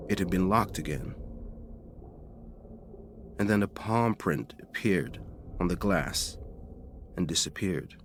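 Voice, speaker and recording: A man's voice: deep voice, slow, long pauses, slight background noise. A man reading a scripted first-person ghost story in a dramatic storytelling manner. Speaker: story reader.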